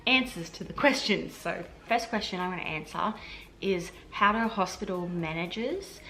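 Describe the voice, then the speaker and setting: Chatty Australian woman, lowish voice. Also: expressive, normal speed, sharp tone, a little hiss or hum, rough recording. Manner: chatty and rambling, many "like"s and restarts. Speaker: health administration officer and vlogger. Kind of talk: casual vlog explaining to camera.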